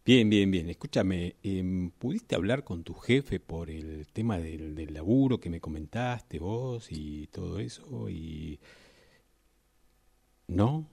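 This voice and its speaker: Man acting a husky, slow-talking gossipy Argentine guy. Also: sits low, expressive, a little mellow, no echo, heavily acted. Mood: friendly. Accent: Argentine Rioplatense. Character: gossipy, crude neighbourhood guy on phone with friend. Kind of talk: radio drama phone monologue.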